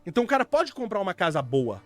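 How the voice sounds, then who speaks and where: A man's voice: high voice, huge pitch swings, fast talker, soft background music. A man in casual podcast chat, explaining his views bluntly and argumentatively. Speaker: podcast co-host.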